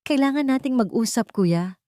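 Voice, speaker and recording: A firm, protective young gentleman from a romantic drama, voiced by a woman narrator. He speaks calmly but insists, with lively intonation and a dry, close sound. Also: fairly high pitch, normal speed, no echo, pitched above the performer's own voice, natural voice. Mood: firm, insistent. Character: concerned, firm, protective young wealthy man.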